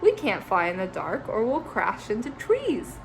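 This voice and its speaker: A woman's voice: mid-range voice, wildly swooping pitch, measured pace, vocal fry, a little hiss or hum, exaggerated voice. Stellaluna, a woman reading a young bat. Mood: startled. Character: young female fruit bat, earnest and bewildered.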